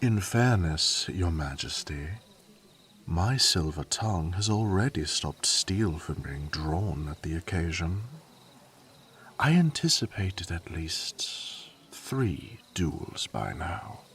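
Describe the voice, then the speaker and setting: Casting: actor as a deep-voiced, slow-talking loyal courtier for fantasy audio drama. Theatrical, silver-tongued, dryly teasing. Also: male voice, sing-song, a bit bright, a little hiss or hum, exaggerated voice. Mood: smooth flattery.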